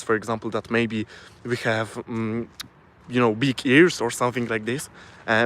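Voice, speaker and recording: A man with a Polish accent, a native Polish speaker, giving a casual live-stream talk from his phone, often pausing and restarting as he searches for words. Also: mid-range voice, a little hiss or hum. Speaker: self-improvement vlogger.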